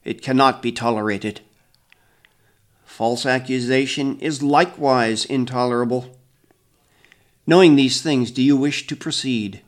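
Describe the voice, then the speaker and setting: Stern old village elder, put on by a male reader, booming, slow, severe. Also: natural voice. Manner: booming, commanding, slow proclamations.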